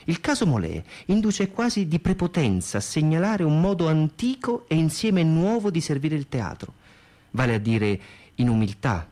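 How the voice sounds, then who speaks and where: A man's voice: a little high, very animated, normal speed, warm tone. A man reading scripted theatrical narration and reviews.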